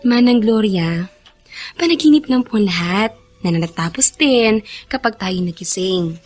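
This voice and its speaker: A woman playing a gentle, hardworking young plantation worker in a Filipino radio drama. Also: medium pitch, lively intonation, measured pace, faint music behind. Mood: concerned, soothing.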